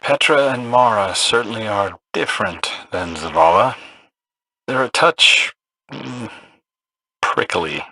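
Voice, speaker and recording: A man's voice: lowish voice, expressive, slow, pauses often, bright, forceful tone, breathy edge, no echo, full character voice, poor audio. An actor playing a brooding, earnest young male warrior with dry wit in a video game. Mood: wry.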